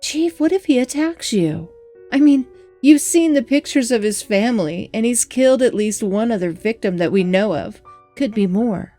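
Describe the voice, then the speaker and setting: A woman's voice: fairly high pitch, lively intonation, moderate pace, mellow, lightly acted. A woman playing a worried, pleading young assistant newspaper editor in an audio drama, speaking earnestly and insistently. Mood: alarmed, insistent. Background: music bed.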